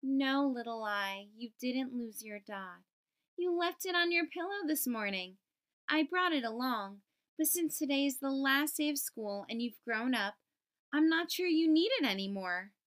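A woman voicing a kindly, reassuring father letter in a children's storybook, gently explaining. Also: high voice, expressive, measured pace. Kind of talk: children's storybook read-aloud.

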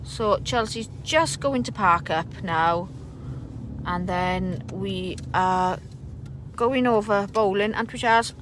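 A woman's voice: mid-range voice, takes it slowly, a bit bright, a little hiss or hum. A mother narrating a casual family vlog, chatty and cheering her daughter on at a bowling alley. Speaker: mother filming a family outing.

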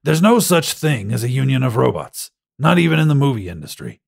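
Guy doing a scornful impatient dad in a story, gravelly and husky, curt. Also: mid-range voice, big pitch swings, fairly quick, heavily acted. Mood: irritated, disbelieving. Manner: curt, huffy. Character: irritated, sceptical, scornful father on a museum visit.

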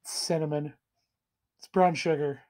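Hoarse man with a cold reviewing whiskey. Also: on the high side, fairly even intonation, unhurried, a little mellow. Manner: rambling, self-deprecating, enthusiastic. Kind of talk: casual tasting vlog. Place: small room indoors at home.

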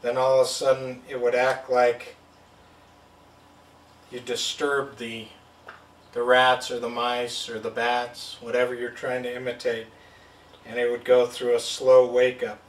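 A man's voice: mid-range voice, monotone, unhurried, pauses often, far from the mic. Man explaining a gadget in a roomy studio. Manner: plain, step-by-step explaining. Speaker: product demonstrator. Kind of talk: hands-on product demo. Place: indoor studio at a table. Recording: roomy sound from off-mic.

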